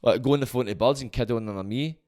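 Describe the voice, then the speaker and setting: A Glaswegian man hosting his own podcast, rambling and swearing freely in a loud, booming voice. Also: fast talker. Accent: Scottish, Glaswegian. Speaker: podcast host. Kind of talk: casual monologue.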